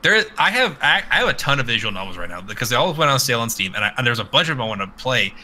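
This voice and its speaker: A man's voice: medium pitch, big pitch swings, rapid-fire, pushed and bright, low-quality recording. A man chatting casually and comedically about video games as a podcast co-host, rambling and crude, often acting out exaggerated reactions.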